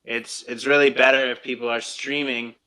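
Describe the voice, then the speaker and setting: A man's voice: mid-range voice, flat delivery, moderate pace, pushed and bright, clean tone, low-quality recording. A man hosting a casual live audio discussion, speaking informally with some slang. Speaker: host.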